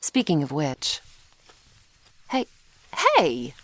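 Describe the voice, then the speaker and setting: A woman's voice: deep voice, huge pitch swings, drawn-out words, no echo, heavily acted. A woman playing a flirty, sardonic female vampire in an ASMR roleplay, taunting the listener playfully up close. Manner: sardonic, playful, taunting, with pet names and sighs.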